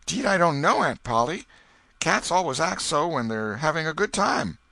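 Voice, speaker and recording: A man's voice: fairly high pitch, sing-song, moderate pace, gravelly, pushed and bright, no echo, pitched above the performer's own voice, exaggerated voice. A man voicing a mischievous young boy with a drawling country way of talking. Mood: nervous.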